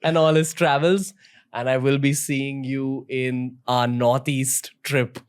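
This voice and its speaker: An Indian-accented man hosts a podcast. His voice sits at an ordinary middle pitch, and he leans into relaxed, teasing chat with plenty of "like" and "uh" along the way. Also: slow pace, smooth. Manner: relaxed and teasing, with frequent fillers. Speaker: podcast host. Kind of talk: casual podcast interview.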